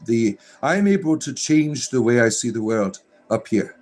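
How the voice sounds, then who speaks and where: Rambling man, low music behind. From Florida. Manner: rambling, full of fillers and restarts, drawn-out narrator delivery. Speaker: livestream host. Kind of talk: casual livestream chat reading viewer comments.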